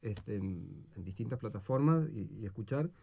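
A man's voice: lowish voice, animated, moderate pace, gravelly, narrow, tinny audio. A man speaking as a guest in a casual, unscripted interview.

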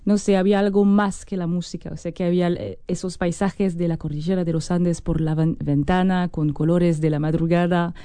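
A French woman speaking Spanish with a French accent as a musician guest in an interview, pausing and restarting as she searches for words. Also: fairly low pitch, steady tone, warm tone, clean tone, dry recording. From France.

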